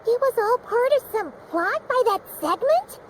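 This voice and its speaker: A woman voicing a tiny floating girl companion in a game, with a high squeaky childlike voice and an excitable, questioning way of talking. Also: measured pace, a little hiss or hum, exaggerated voice. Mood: curious. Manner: exclaiming, nosy questions.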